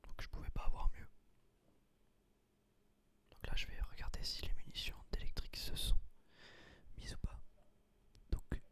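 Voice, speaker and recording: A man quietly explains his gameplay in a casual, breathy ASMR whisper. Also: moderate pace, long pauses. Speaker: gaming video creator.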